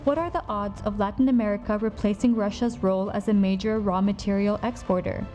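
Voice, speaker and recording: Mellow-voiced woman narrating a promo. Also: mid-range voice, a little fast, soft background music. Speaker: voice-over narrator.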